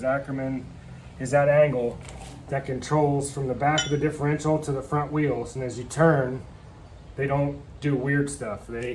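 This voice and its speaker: A man casually explaining a car brake swap in his workshop, rambling and joking, calling his viewers kids. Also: medium pitch. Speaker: garage mechanic demonstrating a brake swap. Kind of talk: casual hands-on how-to talk. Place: workshop garage. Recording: noisy shop ambience.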